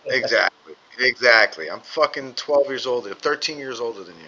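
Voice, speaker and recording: A man's voice: medium pitch, lively intonation, moderate pace, sharp tone. A man in his forties co-hosting a podcast, chatting bluntly, joking and swearing. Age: 40s. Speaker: podcast co-host. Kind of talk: casual profane chat. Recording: muffled with faint room ambience.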